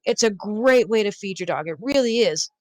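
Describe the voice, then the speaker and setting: A woman's voice: mid-range voice, lively intonation, moderate pace, dry recording. A woman chatting casually as a guest, explaining things in an informal way. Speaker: talk show guest, dog expert. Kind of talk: casual chat.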